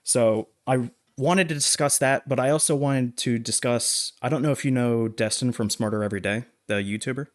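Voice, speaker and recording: A man co-hosting a casual film discussion, explaining things in a halting, measured way. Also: medium pitch. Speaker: podcast co-host.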